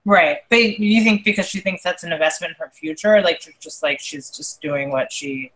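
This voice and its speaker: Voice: mid-range voice, moderate pace, fairly bright tone, low-quality recording. A podcast co-host who sounds a little congested, chatting casually about a TV show, hesitant and often trailing off.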